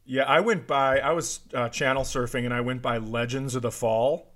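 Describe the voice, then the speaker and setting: A man's voice: mid-range voice, fairly even intonation, normal speed, fairly bright tone. A man co-hosting a comedy podcast with casual banter and wry riffing.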